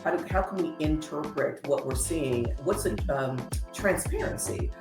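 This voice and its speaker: A woman from San Diego whose first language is Spanish, co-hosting a casual tech chat over a video call, expressive and checking in with "right?". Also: deep voice, moderate pace, reverberant, over music. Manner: expressive, says "right?" often. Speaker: co-host, coach.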